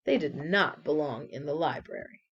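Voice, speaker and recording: Casting: female story reader, lowish register, lively expressive delivery, deliberate emphasis, slightly lo-fi recording. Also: husky. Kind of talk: expressive read-aloud storytelling.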